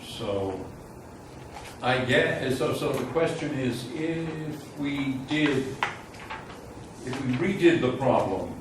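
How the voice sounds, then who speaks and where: A man lecturing on physics in an informal classroom style, thinking aloud haltingly and frankly admitting uncertainty. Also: mid-range voice, lively intonation. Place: lecture room, mic away from speaker. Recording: roomy echo, distant mic, loud room noise.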